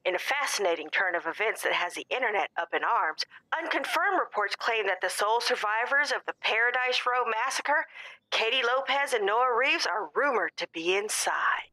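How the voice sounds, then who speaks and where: A woman's voice: on the high side, expressive, normal speed, sharp tone, dry recording, low-quality recording. An actress playing an urgent female reporter reading a formal breaking-news bulletin in an audio drama.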